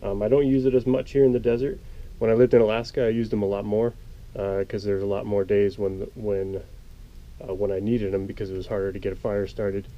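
A man's voice: fairly low pitch, level tone, fairly quick, lo-fi sound. An American man in his thirties talking casually and easygoingly to camera, explaining what he is doing as he works, with some hesitation. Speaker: outdoor vlogger, presenter. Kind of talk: casual explanatory talk to camera while working. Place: outdoors in a small desert rock cave. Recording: outdoor camera audio with faint background noise. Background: faint outdoor ambience.

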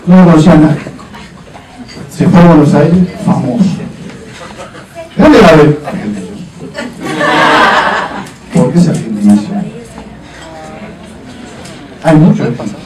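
A man's voice: a little high, lively intonation, unhurried, long pauses, mellow, recorded from a distance. A man in his forties from Uruguay with a Uruguayan accent, doing a rambling, self-mocking stand-up routine into a handheld mic on a club stage. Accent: Uruguayan Spanish. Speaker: stand-up comedian. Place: dark comedy club stage with live audience. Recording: roomy club sound, audience laughter.

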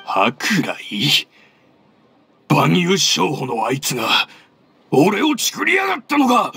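Blustering, shifty merchant, hugely swinging pitch. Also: male voice, wildly swooping pitch, slow pace, bright, forceful tone, no room sound, soft background music, full character voice. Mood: furious. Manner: stammering when cornered, gruff. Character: shifty male merchant, defensive, blustering, easily flustered. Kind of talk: Japanese video game voice acting.

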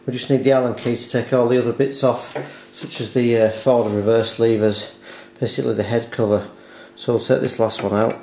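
A man's voice: medium pitch, fairly even intonation, a little fast, few pauses, a little mellow. A man narrating a hands-on repair tutorial step by step, explaining carefully and without hurry. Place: indoors at a dining table in a home. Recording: roomy echo, background hiss, handling clicks.

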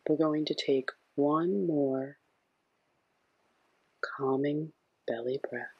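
Slow, husky man guiding sleep meditation. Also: a little high, fairly even intonation, no room sound, muffled recording. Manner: soothing, hushed, gentle instruction. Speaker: meditation guide.

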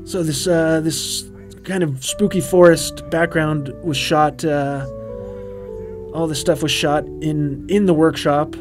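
A man in his thirties giving a rambling, relaxed behind-the-scenes commentary with quaintly formal turns of phrase, full of ums and uhs. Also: on the high side, slow pace. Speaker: puppeteer and co-host of a commentary track. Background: faint background music.

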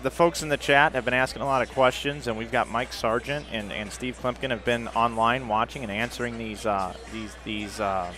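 A man's voice: mid-range voice, steady tone, a little fast, hardly any pauses, bright, forceful tone, soft background music. A man hosting a live stream, casual and agreeable, on a headset mic. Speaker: show host. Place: busy trade show hall.

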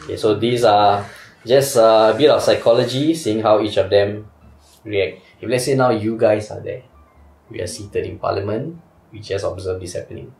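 A man's voice: on the low side, moderate pace, a little mellow, roomy sound, slight background noise. A young Singaporean man hosting a casual chat in a small studio, steering the talk and asking others for their views. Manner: leads the talk, asks for reactions. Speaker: podcast host. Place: small indoor studio with couches.

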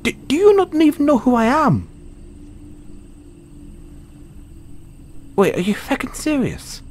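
A man's voice: very high voice, wildly swooping pitch, fairly quick, a little mellow, a little hiss or hum, full character voice. A man with a British accent playing a cocky, foul-mouthed, stammering Dullahan social media wannabe in a comedic audio roleplay. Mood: outraged, boastful. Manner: stammering, sweary, boastful.

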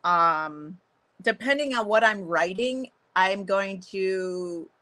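Middle-aged woman, deep-ish but bright voice, chatty, lots of ums and restarts, home video call. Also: expressive, slow pace. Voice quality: deeper voice for a woman. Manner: chatty, many fillers and restarts, laughs often. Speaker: guest, photographer and songwriter. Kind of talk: casual interview chat.

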